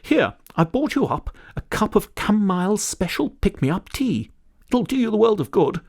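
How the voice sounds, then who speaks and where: A man voicing a kindly old lady from a storybook, fussing and gently chiding. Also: high voice, sing-song, moderate pace, voice pushed up, full character voice. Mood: fussing, teasing.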